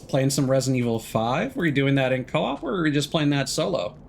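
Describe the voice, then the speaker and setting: Animated male streamer, casual game commentary. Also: mid-range voice, a little fast, creaky, slight background noise. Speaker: livestreamer playing a video game. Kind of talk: casual let's play commentary, chatting with viewers.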